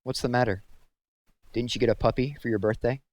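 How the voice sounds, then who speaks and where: A man's voice: medium pitch, fairly even intonation, a little fast, darkish tone, dry recording, pitched above the performer's own voice, close to an everyday voice. A man voicing a stern, sarcastic female camp warden with cool, pointed questions in a storybook. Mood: cool sarcasm.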